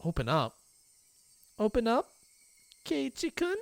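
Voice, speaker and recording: An eerily cheerful teenage girl from a horror audiobook, as the reader puts her on. She speaks high and sing-song, coaxing and pleading in repeated phrases. There is a creepy sweetness to her voice. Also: wildly swooping pitch, slow, gravelly, no room sound, exaggerated voice. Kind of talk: audiobook reading.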